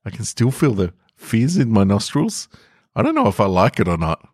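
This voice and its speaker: A bashful teenage boy, as a man reads him out, fumbling for words and tripping over himself in a low, dark voice. His halting, self-conscious lines come through close and dry, as if in a storybook reading. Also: wildly swooping pitch, slightly rough, dry recording, heavily acted. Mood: sheepish. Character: shy, awkward adolescent boy at a school dance.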